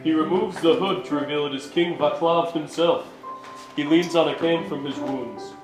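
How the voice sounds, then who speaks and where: A man's voice: on the high side, moderate pace. A man giving scripted narration as the narrator of stage directions at a staged reading. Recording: echoey stage, faint music behind.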